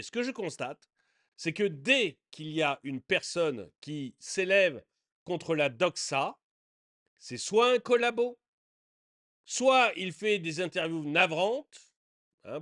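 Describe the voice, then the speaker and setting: A man hosting a commentary channel, reacting to political TV clips with indignation that climbs to shouting and then drops into mumbled fillers. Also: high-pitched.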